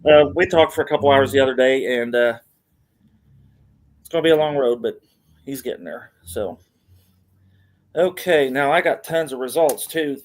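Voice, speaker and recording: A middle-aged man hosting a podcast, casually reading out wrestling news and announcements in a halting, hesitant way. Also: mid-range voice. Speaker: podcast host.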